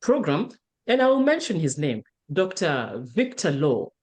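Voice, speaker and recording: A woman's voice: low voice, very animated, unhurried, a little mellow. A woman in her fifties with a Kenyan accent, a counselor talking earnestly in an interview, often repeating words and restarting. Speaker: counselor and trainer. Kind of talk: casual interview conversation.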